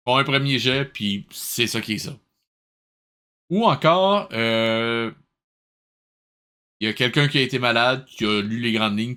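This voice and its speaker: A man's voice: mid-range voice, sharp tone. A man with a Québécois accent hosting a reaction show, giving casual, incredulous and colloquial running commentary.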